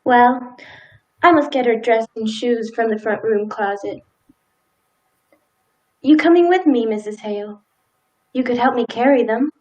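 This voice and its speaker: A woman's voice: on the high side, measured pace, long pauses, darkish tone, natural voice, poor audio. A woman in a radio play acting a timid, dutiful, law-abiding small-town wife with hesitant, folksy old-fashioned speech.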